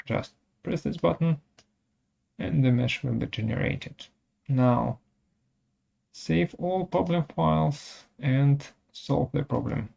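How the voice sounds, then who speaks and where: Slow, gravelly software tutorial presenter of unclear sex. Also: mid-range voice, lo-fi sound. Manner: halting, step-by-step phrasing in short pieces. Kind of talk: technical tutorial demonstration, narrated step by step.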